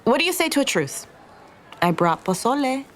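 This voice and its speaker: A woman's voice: sits high, very animated, normal speed, fairly bright tone, a little hiss or hum. An actress playing a wry, conciliatory woman in a naturalistic audio drama. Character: wry, conciliatory Mexican American woman seeking a truce.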